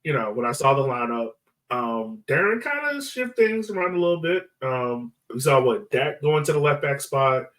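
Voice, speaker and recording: A man's voice: medium pitch, sing-song, normal speed, a bit bright, poor audio. A man hosting a sports podcast in casual discussion, thinking aloud in an informal, conversational way.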